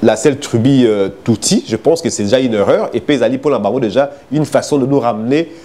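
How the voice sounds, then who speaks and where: A man from Congo speaking as a guest in a political debate, explaining his views in a measured way with many hesitations. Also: medium pitch, dark tone. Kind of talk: political debate in French and Lingala.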